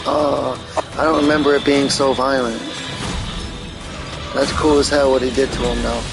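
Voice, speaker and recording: A man's voice: medium pitch, flat delivery, moderate pace, poor audio. A man giving casual, informal commentary over video game cutscenes, explaining things like a fan and swearing freely. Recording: game soundtrack music behind the voice. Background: video game cutscene music.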